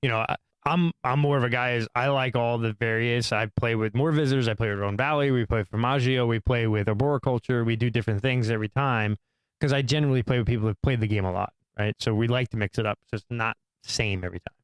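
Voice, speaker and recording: A man co-hosting a podcast, laying out a counterpoint with quick, detailed explanations. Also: medium pitch, smooth, no echo. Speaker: podcast co-host. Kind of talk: casual discussion.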